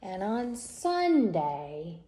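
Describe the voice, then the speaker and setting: Woman, picture book narrator, unhurried, very expressive intonation, deliberate phrasing, roomy echo. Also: medium pitch. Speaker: storyteller reading a picture book aloud. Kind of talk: expressive scripted children's story narration. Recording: echoey room with faint noise.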